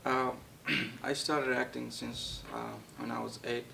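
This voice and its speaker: Gravelly, halting young man from Nepal. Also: steady tone, sharp tone. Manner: halting, many fillers and restarts. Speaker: guest actor. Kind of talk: casual interview. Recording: distant mic with faint rumble.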